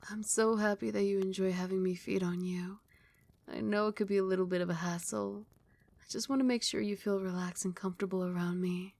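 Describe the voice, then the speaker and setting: A doting vampire queen, played by a woman, murmurs in an ASMR roleplay. Her voice is soft and breathy and often slips into a whisper. She is soothing and possessive, regal yet tender, with a mid-pitched register. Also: fairly even intonation, a little fast. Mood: soothing, protective.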